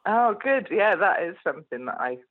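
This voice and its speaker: A woman's voice: on the low side, very animated, moderate pace, phone-quality sound. A woman guest on a casual phone chat, bubbly and playful, often breaking into laughter.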